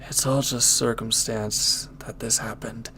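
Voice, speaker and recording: A man playing a former villain turned hesitant, conflicted lover, speaking earnestly and haltingly in an intimate audio roleplay. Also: medium pitch, little pitch movement, bright, forceful tone, whispered. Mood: regretful, earnest.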